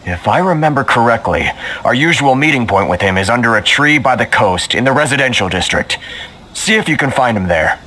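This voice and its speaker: An actor playing a worried, resigned foreign merchant in a video game, with a measured, plaintive delivery. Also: male voice, medium pitch, lively intonation, background noise, natural voice. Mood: hopeful, helpful. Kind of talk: video game dialogue acting. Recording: game music behind.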